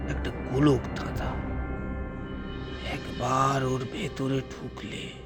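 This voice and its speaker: Seasoned old male tour guide played by an actor: amiable, unhurried, suspenseful explainer, mid pitch, radio thriller with prominent music. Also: close to an everyday voice. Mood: cautioning. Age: older. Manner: measured, explanatory, suspenseful storyteller. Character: slim, amiable, seasoned elderly French catacomb guide. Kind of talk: dramatized audio story dialogue. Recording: music bed behind the voice.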